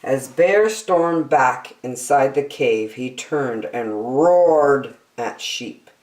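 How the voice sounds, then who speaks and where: A woman reading a children's picture book aloud with theatrical, deliberate phrasing, in a roomy, echoey recording. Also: extremely low pitch, lively intonation, darkish tone, distant mic. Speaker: storytime reader.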